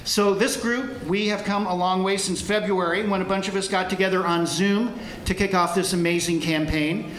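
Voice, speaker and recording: High-voiced man rallying an echoey room. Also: fairly even intonation, moderate pace, hardly any pauses, a bit bright, a little hiss or hum. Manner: earnest, grateful, rallying, with fillers. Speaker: volunteer speaker at a fundraiser concert. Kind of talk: live speech to an audience. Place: large room with live audience. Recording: echoey room, crowd murmur.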